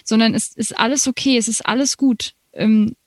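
A woman's voice: a little high, normal speed, dry recording. Chatty young woman, laughs often. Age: 20s. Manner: animated, laughs often, many fillers. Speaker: musician guest. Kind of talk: casual interview talk.

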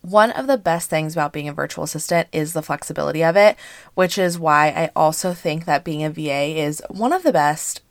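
A woman in her thirties hosting a casual solo podcast, hoarse and congested from allergies, chatty with frequent fillers. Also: sits low, a little fast, no room sound, studio quality. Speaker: podcast host and business coach.

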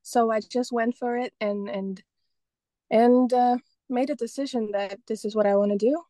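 A woman's voice: on the high side, steady tone, normal speed, warm tone, no room sound. A young woman from Estonia, a painter and photographer, talking casually as an interview guest and often restarting her sentences. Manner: rambling, many restarts and fillers.

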